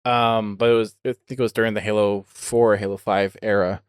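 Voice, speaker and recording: A man's voice: lowish voice, level tone, a little fast, smooth, dry recording. Casual male podcast host, dry close sound. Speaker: podcast host interviewing a guest.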